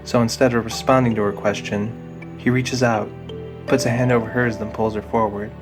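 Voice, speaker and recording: A man giving a scripted, deliberate narration of a fantasy audio drama. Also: mid-range voice, flat delivery, music in the background.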